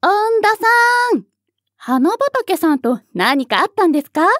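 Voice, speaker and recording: High-pitched, snippy office rival. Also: female voice, big pitch swings, a little slow, no room sound, studio quality. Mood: coy, probing. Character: haughty, tsundere young female office rival, coy and blunt. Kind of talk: Japanese voice drama dialogue.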